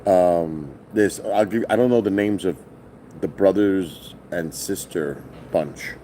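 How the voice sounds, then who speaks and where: A middle-aged man from New with a loud voice, telling a rambling, profane story on a casual stream from his hotel. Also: low-pitched, slow pace, slight background noise. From New York. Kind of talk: casual live-stream vlog. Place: hotel, indoors.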